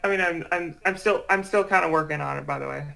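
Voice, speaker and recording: A man chatting casually as a guest, hesitant and halting, often repeating his words. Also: on the high side, gravelly, pushed and bright, low-quality recording. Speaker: guest, amateur voice actor. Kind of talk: casual chat.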